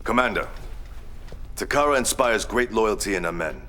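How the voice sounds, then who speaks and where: Proud wary japanese leader, really low voice, solemn warnings, game cutscene, slight background noise. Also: male voice, lively intonation, normal speed, bright, forceful tone. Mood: grave, warning. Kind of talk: dramatic game mission briefing.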